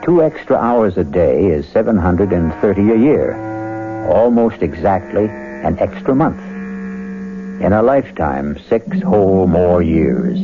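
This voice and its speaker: A man hosting a mystery radio drama with measured, theatrical, ominous scripted narration. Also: low-pitched. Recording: tinny vintage radio broadcast, music behind.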